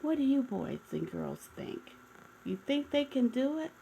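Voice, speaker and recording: A woman hosting story time, reading a picture book expressively, with dramatic pauses and asides to the children. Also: high voice, gravelly, a little hiss or hum, low-quality recording. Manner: animated, asks listeners questions, dramatic pauses.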